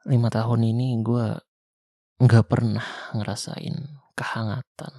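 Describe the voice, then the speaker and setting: A young man with a Jakarta slang accent playing a weary ex-soldier survivor of a zombie apocalypse, speaking softly and intimately in an ASMR roleplay. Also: mid-range voice, level tone, no room sound, studio quality, close to an everyday voice. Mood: tender. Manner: soft, slow, intimate, half-whispered. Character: weary male ex-soldier survivor, tender protector. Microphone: close mic.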